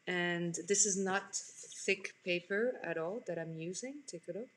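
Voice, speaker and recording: A woman's voice: lowish voice, a little slow, fairly bright tone. Chatty woman hosting a live drawing stream. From France. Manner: chatty, answers viewer comments. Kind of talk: casual chat while drawing. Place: home room, indoors.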